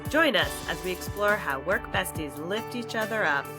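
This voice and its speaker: A woman's voice: mid-range voice, expressive, normal speed, over music. A woman co-hosting a podcast interview, speaking in an upbeat, enthusiastic way. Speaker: podcast co-host.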